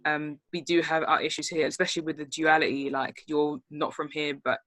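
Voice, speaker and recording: A woman's voice: low voice, steady tone, a little fast, fairly bright tone, no room sound. A young woman with a London accent talking casually and emphatically in a group discussion on a video call. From born in Spain, raised in London.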